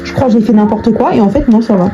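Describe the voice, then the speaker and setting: A woman's voice: on the high side, quick pace, highs cut off, music in the background. A young adult woman chatting casually in slangy, informal language, thinking aloud as she crafts. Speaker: online video creator. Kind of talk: casual chat while crafting.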